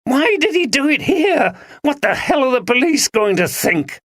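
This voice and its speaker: A man's voice: very high-pitched, big pitch swings, normal speed, a bit bright, dry recording, studio quality, voice pushed up, full character voice. A man voicing a jumpy, nervous male actor who talks agitatedly.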